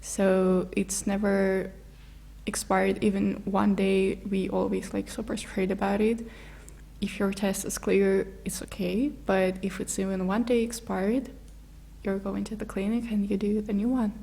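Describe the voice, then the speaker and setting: Young woman with vocal fry. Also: medium pitch, little pitch movement, dark tone. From Russia. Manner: halting, searching for words in English. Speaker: guest. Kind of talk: casual interview chat.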